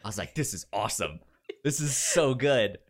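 A man co-hosting a podcast, chatting casually about film in a halting, loosely phrased way. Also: mid-range voice, animated. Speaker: podcast co-host.

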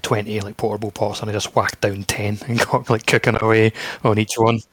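A man's voice: lowish voice, level tone, brisk, breathy edge, no echo. A man co-hosting a podcast in casual chat about video games. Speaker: podcast co-host.

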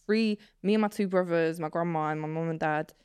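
A woman's voice: fairly low pitch, fairly quick, smooth. A young woman in her twenties from Poland, a native Polish speaker, chatting casually as a podcast guest. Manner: relaxed, rambling, heavy use of filler words. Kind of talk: casual chat.

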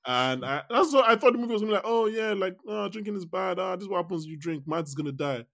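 A man hosting a casual film discussion podcast, rambling and animated, acting out quotes as he talks. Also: high-pitched, fast, dry recording, studio quality. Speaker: podcast host.

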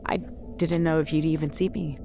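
A woman playing a hurt but quietly challenging ship's cook in an audio drama. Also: low voice, steady tone, fairly quick, vocal fry. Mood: hesitant, hurt. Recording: music under the voice.

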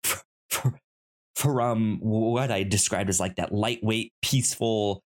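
A man hosting a podcast in a casual, chatty style, sometimes halting and repeating words as he searches for phrasing. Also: on the low side. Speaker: podcast host.

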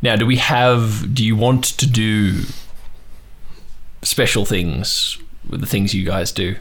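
A man's voice: lowish voice, little pitch movement, slow pace, fairly bright tone. A man hosting an improvised fiction podcast as game master, moving between casual announcements and narration.

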